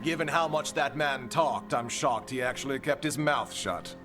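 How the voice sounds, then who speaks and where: An actor playing a pompous, sardonic official in a video game drama, haughty and self-justifying. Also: male voice, medium pitch, moderate pace, music in the background, natural voice. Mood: defiant.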